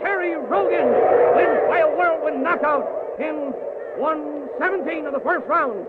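An excited ringside fight announcer shouts in a very high, strained pitch in a vintage radio drama. The sound is tinny and buried in loud noise. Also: male voice, unhurried, a loud crowd. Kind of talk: 1950s radio fight commentary.

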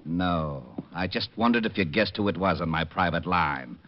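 An actor playing an exasperated, suspicious police lieutenant, blunt and impatient, in a vintage radio drama. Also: male voice, deep voice, moderate pace, a little hiss or hum, natural voice. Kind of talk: 1950s radio drama acting. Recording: dull old radio recording.

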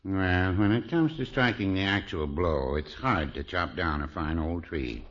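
An actor playing a mild, folksy old small-town man, hesitant and deadpan, in a 1950s radio comedy. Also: low-pitched, a little slow, heavily acted. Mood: wistful, deadpan. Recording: muffled vintage 1950s radio recording.

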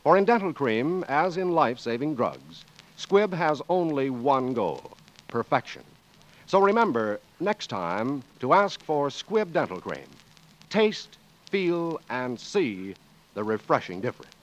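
A man's voice: medium pitch, big pitch swings, unhurried, muffled recording. A man announcing in a formal, polished, emphatic 1940s radio style, reading scripted copy. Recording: vintage radio broadcast, music behind.

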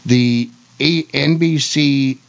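A man hosting a talk show, mixing casual chat with read notes, halting and repeating words. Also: mid-range voice, vocal fry. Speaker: radio show host.

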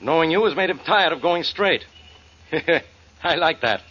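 Blustering suspicious cop, American, forceful higher-set voice, sarcastic, muffled hissy vintage radio sound. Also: male voice, expressive, unhurried, breathy edge, heavily acted. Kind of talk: 1940s American radio drama acting. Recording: muffled old radio recording, hissy noise.

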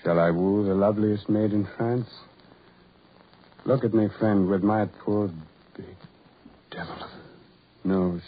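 An actor playing a tormented, lovelorn, self-loathing man in a melodramatic 1940s radio horror drama, brooding and halting in delivery. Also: on the low side, fairly even intonation, lightly acted. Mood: subdued, halting. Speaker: radio actor, lead and narrator. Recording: muffled, noisy 1940s radio recording.

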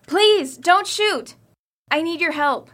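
A woman's voice: very high-pitched, slow pace, a bit bright, a little hiss or hum, full character voice. A woman playing an earnest teenage girl who pleads for help in an audio drama. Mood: pleading.

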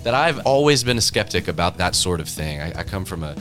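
Deep-voiced man telling stories earnestly. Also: very animated, a little fast, fairly bright tone, soft background music. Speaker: studio staffer and guide. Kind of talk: conversational storytelling in a discussion.

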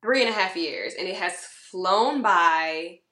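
Bright, bubbly woman in an echoey room. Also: on the low side, unhurried. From Georgia, US. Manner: bubbly, animated storyteller, playful voices. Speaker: guest, model and musician. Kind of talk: casual interview chat.

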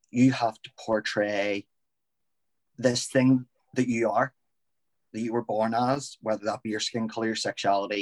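A man's voice: on the low side, dry recording, rough recording. Male wrestler guest, earnest and heartfelt, dry close-miked sound. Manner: earnest, heartfelt, with teasing jabs. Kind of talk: casual chat.

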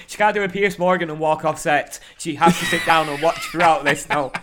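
A man co-hosting a casual wrestling podcast, talking in an animated, rambling way and swearing freely. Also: fairly high pitch, moderate pace, noisy background. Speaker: podcast co-host. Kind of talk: casual chat.

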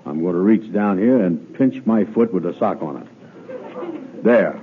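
An actor playing a slow, gullible, weary man in an old radio comedy, with a drawling, ungrammatical manner. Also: deep voice, expressive. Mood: weary, puzzled. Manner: drawling, ungrammatical, muttering to himself. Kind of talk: 1950s radio comedy acting. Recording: narrow phone-like old radio recording, loud noise.

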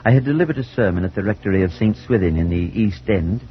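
A man acting a mild, courteous English clergyman in a 1940s radio drama, speaking in a measured, literary way. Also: on the low side, animated, a little hiss or hum, natural voice. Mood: grave, reflective. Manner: measured, literary, polite delivery. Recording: muffled 1940s radio recording.

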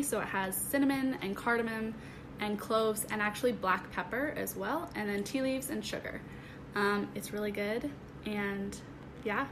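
A teenage woman talks as a podcast guest in a friendly, hesitant way, often pausing on "um." Her pitch sits in the middle range, and steady background noise is clearly heard. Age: teens. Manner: friendly, hesitant, many ums. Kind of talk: casual chat.

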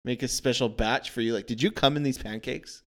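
A man's voice: mid-range voice, brisk, slight background noise. A man co-hosting a casual film review podcast, incredulous and asking rhetorical questions. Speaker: podcast co-host.

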